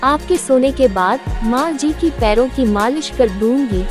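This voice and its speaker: A woman's voice: high voice, animated, measured pace, music in the background. A woman voicing a dutiful, caring young daughter-in-law in a horror cartoon, earnest and eager to please. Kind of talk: Hindi horror cartoon voice acting.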